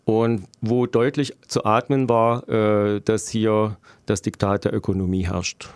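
A middle-aged man from Germany answering interview questions as a local politician, explaining deliberately and haltingly with dry irony. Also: fairly low pitch, flat delivery, smooth, dry recording. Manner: deliberate, halting, dryly ironic. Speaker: local politician interviewed, road planner by profession.

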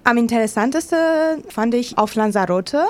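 Higher-voiced woman, halting but enthusiastic. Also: lively intonation, a little mellow. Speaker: interviewed contributor. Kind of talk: unscripted travel account.

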